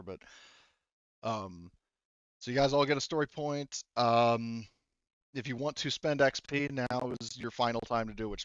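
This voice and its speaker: A man speaking casually as a game master, narrating in a halting, hedging way with the odd swear word, on slightly lo-fi audio. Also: lowish voice, fairly bright tone, no room sound.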